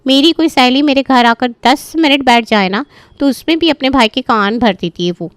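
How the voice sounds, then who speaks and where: Woman as young gossiping friend, fairly high voice, catty, envious, emphatic, cartoon story, dry sound. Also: normal speed, smooth, no room sound, lightly acted.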